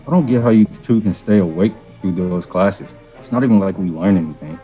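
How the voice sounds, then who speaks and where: A man's voice: low voice, a little fast, warm tone, dull audio, faint music behind, lightly acted. A man playing a cocky, flippant slacker student gamer in an amateur audio drama. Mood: nonchalant.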